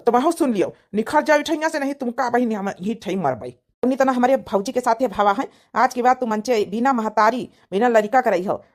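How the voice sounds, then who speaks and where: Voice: medium pitch, lively intonation, a little fast, lightly acted. A performer voicing a furious, accusing village man in an Awadhi cartoon comedy, scolding in a melodramatic way. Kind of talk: dramatic comedy cartoon dubbing.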